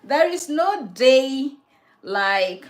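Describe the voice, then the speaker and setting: A woman from Ghana hosts a casual livestream from her home. She talks at an unhurried, drawn-out pace, speaking reflectively about faith and answering viewers' comments as they come in. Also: high-pitched, big pitch swings. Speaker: livestream host.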